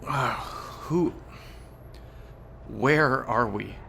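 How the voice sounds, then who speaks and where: A man's voice: medium pitch, drawn-out words, gravelly, pushed and bright, slightly rough, full character voice. An actor playing an earnest, argumentative intellectual man obsessed with eerie legends in a radio horror drama. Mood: dazed, guarded.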